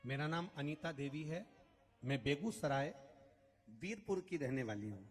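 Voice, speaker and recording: A man's voice: fairly high pitch, expressive, unhurried, in a large hall, soft background music. A man preaching a devotional katha sermon, telling stories, repeating phrases and singing lines. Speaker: Shiva Purana storyteller preacher. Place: large outdoor religious gathering.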